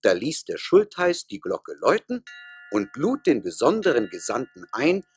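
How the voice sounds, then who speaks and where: An actor as the narrator of a comic folk tale radio play, delivering scripted narration. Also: male voice, mid-range voice, wildly swooping pitch, moderate pace, heavily acted. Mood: narrating. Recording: clear background noise.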